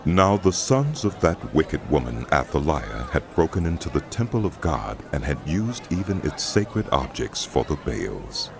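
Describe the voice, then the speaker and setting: A man narrating a dramatized audio Bible in a solemn, measured storytelling style. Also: a bass voice, big pitch swings, a crowd in the background. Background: background music.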